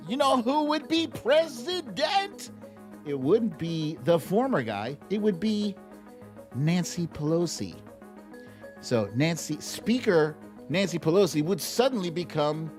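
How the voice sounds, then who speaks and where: A man with a very lively, swooping delivery hosts a casual livestream commentary. He takes his time, leaning on words playfully and pausing for drama. Some music plays behind his voice. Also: on the high side. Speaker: host of a live political commentary show.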